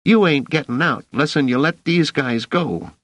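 Man acting a scrappy urchin boy, raised shrill pitch, slangy dropped endings, excitable, dry storybook recording. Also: normal speed, dry recording. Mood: defiant. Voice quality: squeaky, shrill. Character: scrappy, loyal young street urchin boy, excitable and defiant.

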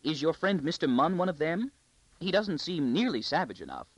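A faded, nearsighted noblewoman with a high, breathy, fluttering voice, as a male reader puts her on. She gushes and drifts from thought to thought, her pitch swooping about in a dry, close storybook reading. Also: big pitch swings, moderate pace, dry recording, low-quality recording. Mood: gushing. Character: vague, plump, high-voiced noblewoman, middle-aged.

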